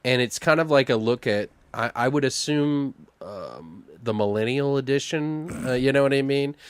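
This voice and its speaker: A man in his thirties co-hosting a podcast in casual, slangy, animated chat with frequent swearing. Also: mid-range voice, moderate pace, clear, resonant tone, dry recording. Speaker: podcast co-host. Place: home studio room.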